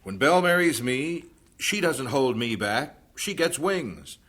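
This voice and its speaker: A man playing a calm, steady young missionary. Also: medium pitch, unhurried. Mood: calm, persuasive. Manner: calm, reassuring. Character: young male missionary husband, steady and kind.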